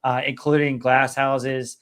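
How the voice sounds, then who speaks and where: A man's voice: medium pitch, flat delivery, takes it slowly, a bit bright, slight background noise. A man hosting a casual podcast chat about music, talking enthusiastically with many fillers. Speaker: podcast host.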